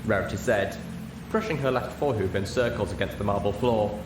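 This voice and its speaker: A man reading a story aloud as narrator, in an expressive scripted style. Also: lowish voice, a little fast. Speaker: audiobook narrator. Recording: roomy, echoey, clear background noise.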